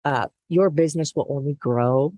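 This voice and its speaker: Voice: mid-range voice, normal speed, darkish tone, no room sound. An interview guest who talks reflectively, thinking aloud and acting out what they used to think. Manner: reflective, thinks aloud, acts out past thoughts. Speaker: guest, business co-founder. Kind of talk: conversational interview.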